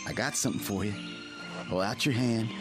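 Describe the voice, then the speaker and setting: Man acting a lovestruck country boy, low-voiced. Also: brisk, natural voice. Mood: tender, devoted. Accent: rural Southern American. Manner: folksy, drawling country phrasing. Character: lovestruck rural young man courting a bewitched sweetheart. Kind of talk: radio drama acting. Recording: radio play with music bed.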